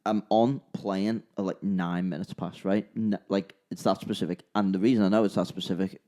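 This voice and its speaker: A man's voice: deep voice, fairly even intonation, moderate pace, warm tone. A young man from Liverpool with a Scouse accent, co-hosting a podcast and telling a long rambling story. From Liverpool, England. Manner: rambling, restarts and repeats himself. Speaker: podcast co-host, musician. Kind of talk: casual storytelling chat.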